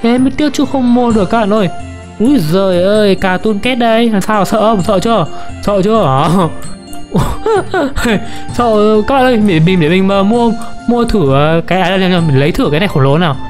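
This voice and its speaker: Young female gamer, medium pitch, chatty and playful, repeats words in bursts, music bed. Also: expressive, moderate pace, a little mellow. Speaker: gaming video creator. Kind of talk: casual game commentary to viewers.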